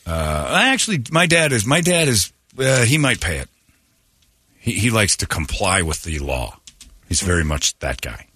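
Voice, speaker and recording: A man's voice: on the low side, very animated, normal speed, bright, forceful tone, husky, dry recording, studio quality. A man hosting a comedy morning radio show, riffing in an irreverent, profane way.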